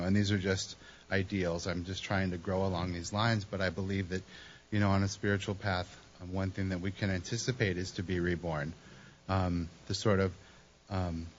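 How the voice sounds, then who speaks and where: A man speaks slowly and hesitantly about spiritual growth, pausing on many ums. His voice sits very low and stays flat, heard on a dull recording with a faint hiss behind it. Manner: soft-spoken, slow and hesitant with many ums. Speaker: recovery meeting speaker. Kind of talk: reflective spiritual share.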